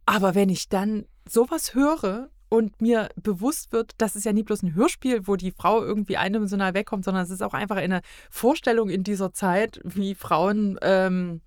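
A woman's voice: medium pitch, moderate pace, hardly any pauses, dry recording, clean studio sound. A middle-aged woman talking casually as a podcast guest, often hesitating and searching for words. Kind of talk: casual conversational discussion.

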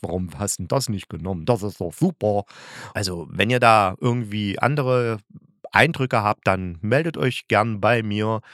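A man's voice: medium pitch, no room sound, studio quality. A man giving a casual, rambling, opinionated solo review as a podcast host.

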